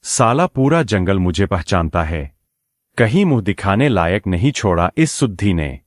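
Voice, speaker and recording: A man's voice: low voice, very animated, unhurried, no room sound, clean studio sound. A man voicing a sly, scheming wolf in a cartoon moral story, oily and mock-friendly in a theatrical way. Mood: bitter muttering. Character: sly scheming male wolf, falsely friendly, muttering plots.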